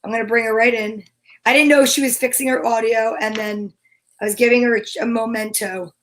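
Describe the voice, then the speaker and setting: A woman's voice: a little high, steady tone, brisk, fairly bright tone, low-quality recording. A woman co-hosting a livestream in casual, chatty banter. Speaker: co-host of a livestream show.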